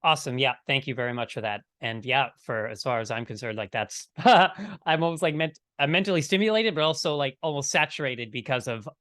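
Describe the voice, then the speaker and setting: A man with a crisp, bright edge to his voice, recorded very dry. He is a panelist putting questions in a conversational style. His phrasing is tentative, roundabout and appreciative. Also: mid-range voice, animated, a little fast, hardly any pauses, no room sound. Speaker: podcast panelist asking questions.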